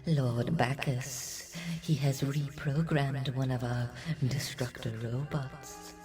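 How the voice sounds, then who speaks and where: A man playing a subservient Martian underling who addresses his master in a drawn-out, obsequious way. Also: mid-range voice, steady tone, over music, natural voice. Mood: servile, nervous.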